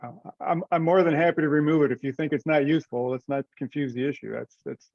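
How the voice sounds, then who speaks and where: A man's voice: on the high side, steady tone, fast, no room sound. A man presenting informally in a remote meeting, thinking aloud tentatively and seeking agreement, over muffled audio. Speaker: presenter in a committee teleconference. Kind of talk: informal meeting discussion, explaining a proposal. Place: remote conference call.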